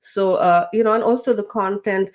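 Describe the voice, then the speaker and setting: A woman's voice: medium pitch, steady tone, moderate pace. A woman guest, a LinkedIn trainer and coach, talking casually in an interview with many fillers and self-corrections. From grew up with British English. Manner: chatty, many fillers and restarts.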